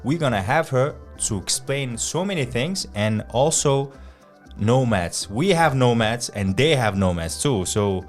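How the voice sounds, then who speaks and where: A man's voice: medium pitch, lively intonation, measured pace, faint music behind. A young man hosting a casual podcast interview, chatty and friendly with loose English phrasing. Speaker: podcast host.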